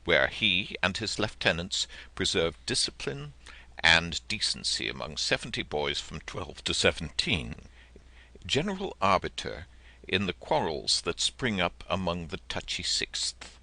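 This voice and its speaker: Male narrator, low pitch, widely swooping intonation, gravelly edge, literary audiobook reading, dramatic delivery. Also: sharp tone, no room sound.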